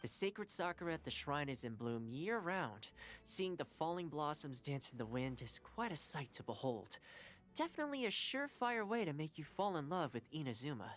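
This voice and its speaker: A woman's voice: deep voice, sing-song, normal speed. A woman voicing a cheerful, easygoing young companion in a video game, chatty, friendly and considerate. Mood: relaxed, advising.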